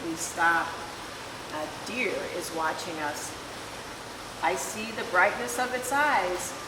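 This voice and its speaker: A woman reading a children's picture book aloud in an expressive, deliberate storytelling manner. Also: lowish voice. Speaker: volunteer story reader. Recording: echoey hall, distant mic, clear hiss.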